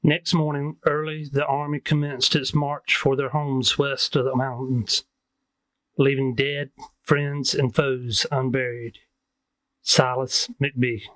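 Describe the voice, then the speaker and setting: A man reads a historical account slowly and with little pitch variation. The sound is dry, without room echo. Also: flat delivery, a bit bright. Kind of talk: scripted reading of a first-hand account.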